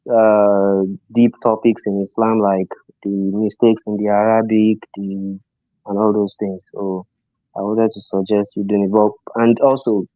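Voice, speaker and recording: A low, flat-voiced man speaks through a dull, lo-fi call line. He is earnest and halting, pausing on fillers, and has a cough. The sound is dry and close. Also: no echo. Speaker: caller. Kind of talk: call-in suggestion. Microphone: phone or call-in line.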